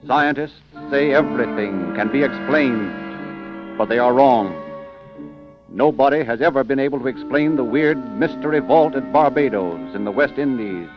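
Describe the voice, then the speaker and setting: Male mystery narrator, portentous and dramatic, vintage radio style, muffled sound, prominent music behind. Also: mid-range voice. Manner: dramatic, portentous, with weighty pauses. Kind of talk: scripted old-time radio mystery narration. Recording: muffled old radio recording.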